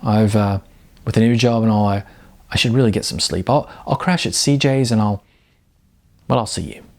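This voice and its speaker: A man voicing a casual, evasive young boyfriend who speaks haltingly, in an audiobook. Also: lowish voice, a little mellow, natural voice. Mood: hesitant, evasive. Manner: hesitant, halting, noncommittal. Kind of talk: audiobook character dialogue.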